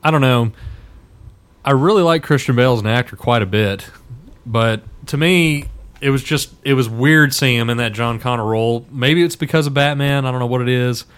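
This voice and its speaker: Mid-pitched man chatting about films. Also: normal speed, fairly bright tone, clear, resonant tone. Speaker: podcast panelist reviewing films. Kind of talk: casual film discussion.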